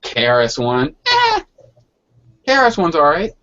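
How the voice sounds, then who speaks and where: A man's voice: fairly high pitch, wildly swooping pitch, unhurried, fairly bright tone. Guy just chatting, swears a lot, slangy, dull lo-fi hangout audio. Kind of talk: casual chat. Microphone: online video hangout. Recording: dull lo-fi online call.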